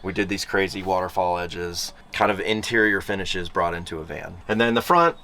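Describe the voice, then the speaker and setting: A man, an interior designer, explaining his van build in relaxed, enthusiastic, chatty answers, his voice groggy from the morning. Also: deep voice, moderate pace. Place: outdoors by his van, then inside it.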